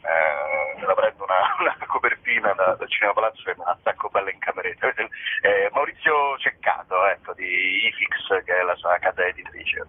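A man's voice: low voice, fairly quick, breathy edge. A man being interviewed as a comedy performer, chatting spontaneously in a hesitant, jokey, rambling way and often correcting himself. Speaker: comedy performer and show presenter, interview guest. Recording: narrow phone-like radio line.

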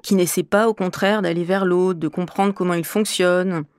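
A woman from Normandy, a French teacher, answering interview questions in a measured, clear, explanatory way. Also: fairly low pitch, steady tone, dry recording, clean studio sound. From Normandy, France.

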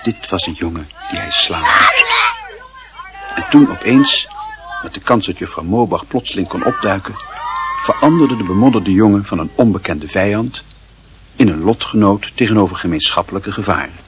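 A man narrating literary fiction as an expressive audiobook storyteller. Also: lowish voice, moderate pace, a crowd in the background.

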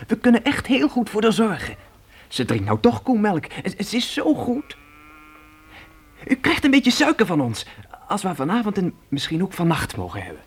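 Earnest, animated pioneer boy. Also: sits high, moderate pace, breathy edge, heavily acted. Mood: pleading. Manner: earnest, commanding, urgent. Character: determined adolescent pioneer boy leading his siblings westward. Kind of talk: radio play acting.

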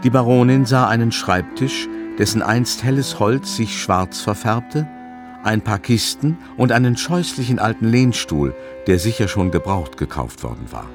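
A man narrating a literary radio play in a measured storytelling manner. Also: fairly low pitch, expressive, music in the background.

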